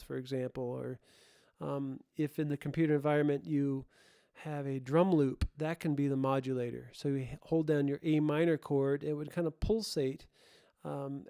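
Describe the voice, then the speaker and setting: A man's voice: a little high, warm tone, clear, resonant tone, dry recording, clean studio sound. A man presenting an informal tutorial, thinking aloud and often correcting himself as he explains. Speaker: host, audio production tutorial presenter.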